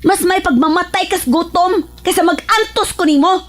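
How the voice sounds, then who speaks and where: A woman with a Cebuano accent acting a fed-up, quick-tempered wife who scolds emotionally in a radio drama. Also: very high-pitched, soft background music. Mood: scolding, indignant.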